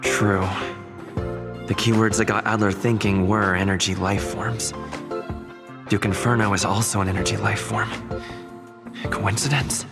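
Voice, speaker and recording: Low, even-toned serious male investigator. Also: measured pace, creaky, long pauses. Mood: pensive. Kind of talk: video game voice acting. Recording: loud music over the voice.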